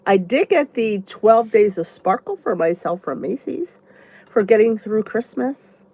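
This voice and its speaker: A woman's voice: mid-range voice, animated, a little slow, warm tone, a little hiss or hum. A congested woman vlogger with a stuffy nose, rambling chattily as she answers viewer comments in a casual live chat. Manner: chatty and rambling, thinks aloud.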